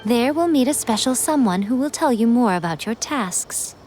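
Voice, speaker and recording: A poised noblewoman from a video game, played by a woman, with a fairly high voice that rises and falls freely. The manner is playful, teasing and sure of itself, over faint music. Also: lively intonation, normal speed, a little mellow, lightly acted. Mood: enticing. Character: poised, teasing noblewoman publisher, sly and self-assured.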